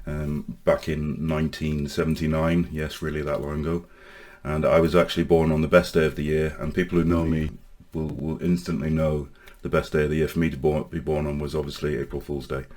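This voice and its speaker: A man in his forties from Northern Ireland speaks in a very deep, low voice, his accent now mostly English. He talks in a halting, hesitant way, restarting phrases often, and his tone stays flat and even. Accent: English with Northern Irish traces. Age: 40s. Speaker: online safety vlogger. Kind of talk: personal storytelling to camera.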